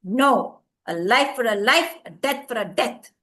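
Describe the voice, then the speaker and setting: A woman storyteller voicing angry brothers who shout accusations and demand vengeance. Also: on the high side, animated, measured pace. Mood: furious.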